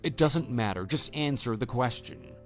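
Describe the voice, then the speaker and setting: Level-headed husband for audio drama, male actor: measured, skeptical, mid-pitched, faint music bed. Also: lively intonation, lightly acted. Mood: skeptical, controlled. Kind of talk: scripted texting-story radio drama.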